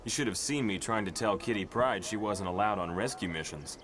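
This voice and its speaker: A man acting as a young superhero team leader with a deep voice. He is earnest, worried and protective, in a comic-book drama with clear vehicle noise around him. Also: brisk, close to an everyday voice. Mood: concerned.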